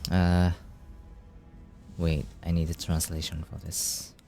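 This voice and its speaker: Slow, deep-voiced man. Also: completely flat delivery, darkish tone, faint music behind. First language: Japanese. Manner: reads game text aloud and translates it, swears casually. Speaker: gaming streamer. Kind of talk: casual game playthrough commentary.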